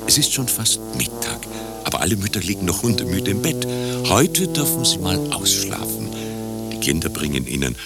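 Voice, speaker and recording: An actor playing a lyrical, mock-solemn narrator who paints an idyllic scene in a radio play. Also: male voice, fairly low pitch, lively intonation, moderate pace. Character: idyllic storybook-style male narrator of a holiday scene. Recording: loud music under the voice.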